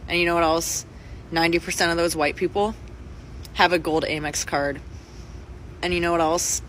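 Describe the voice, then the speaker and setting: A man who works for tips, speaking wryly and quietly in a social media video. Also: a little high, little pitch movement, normal speed, a little hiss or hum, poor audio. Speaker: service worker.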